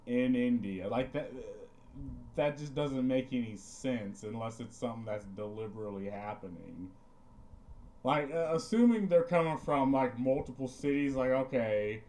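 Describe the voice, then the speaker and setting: A man's voice: medium pitch, a little mellow. A man giving rambling live reaction commentary, stopping and restarting as he talks. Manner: rambling, halting, repeats himself. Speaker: streamer commenting on a video.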